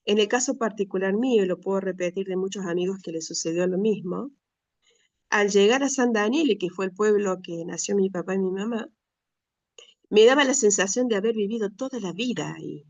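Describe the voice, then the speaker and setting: A woman's voice: mid-range voice, no room sound, dull audio. Earnest Argentine woman sharing her heritage. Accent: Argentine Spanish. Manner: earnest, measured, with frequent pauses and restarts. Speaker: speaker, retired school director. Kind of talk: reflective personal talk.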